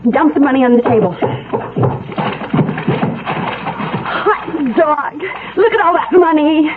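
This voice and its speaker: Playful high-voiced wife, tinny radio. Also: female voice, lively intonation, takes it slowly. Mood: teasing, giddy. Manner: exclaiming, teasing. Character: playful teasing wife, giddy over found money. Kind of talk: old-time radio drama acting. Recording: tinny band-limited radio with crowd noise.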